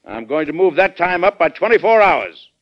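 An actor playing a firm, shrewd American president in an old radio drama, talking bluntly and assertively with an American accent. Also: male voice, sits high, very animated, moderate pace. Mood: firm, commanding. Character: firm, plain-spoken American male president, shrewd and commanding. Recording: dull, band-limited vintage radio recording.

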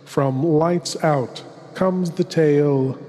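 In a long, echoing hall, a man puts on a suave, sinister horror host who savors dark tales. His speech is very slow and ominous, with ornate, theatrical deliberation. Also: fairly high pitch. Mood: macabre relish. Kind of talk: theatrical scripted horror hosting.

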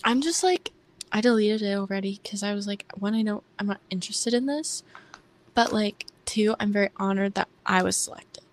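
A young woman with a soft voice, hosting a casual podcast chat in a shy, hesitant way that often trails off. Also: medium pitch, moderate pace, a little hiss or hum. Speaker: podcast host.

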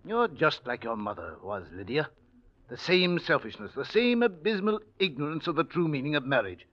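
Stern, animated older uncle. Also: male voice, on the high side, moderate pace. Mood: stern, scolding. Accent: American. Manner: blunt, severe, clipped. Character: stern, disapproving older uncle, bluntly moralizing. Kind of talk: 1940s radio drama acting. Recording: muffled old radio recording.

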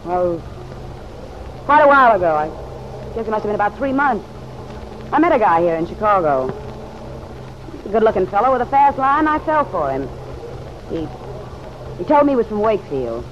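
A woman acts out a hard-edged city gal who is guarded and quick to take offense. She talks in curt, defiant slang, in old-time radio drama style. The sound is a dull vintage recording with faint noise. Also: mid-range voice, sing-song, natural voice. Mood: guarded, bitter. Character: tough, guarded city woman, huffy and defensive.

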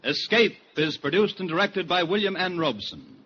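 A man's voice: high-pitched, sing-song, moderate pace. A man with an American accent reading scripted announcements in 1940s network radio style. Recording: lo-fi 1940s radio, faint noise.

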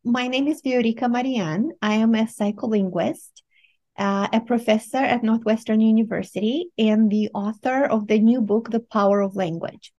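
A middle-aged woman with a Romanian accent, answering interview questions in a measured, precise way with small filler pauses. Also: fairly high pitch, mellow, highs cut off. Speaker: guest professor.

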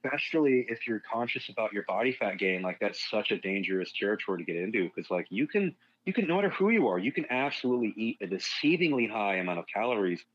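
A man's voice: lowish voice, animated, quick pace, hardly any pauses, dull audio. A man talking as a fitness guest on a casual podcast, frank and rambling, swearing freely.

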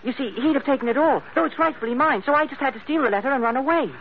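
An eager runaway boy in a vintage radio play. His voice is high, polite and hesitant, full of earnestness. The recording is tinny, like a narrow phone band. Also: rapid-fire, slight background noise. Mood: anxious, earnest. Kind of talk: old-time radio drama acting.

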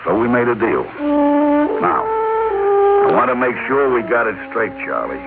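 A man's voice: medium pitch, wildly swooping pitch, measured pace. An actor playing a reluctant, conscience-troubled businessman and older brother in an old-time radio drama. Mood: troubled, reasoning. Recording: muffled old radio broadcast, music behind.

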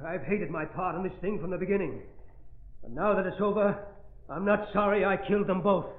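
A man's voice: high-pitched, fairly quick, lightly acted. An actor playing a bitter, vengeful male accomplice turned killer, grim and emphatic, in 1930s radio drama style. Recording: tinny, roomy old radio recording.

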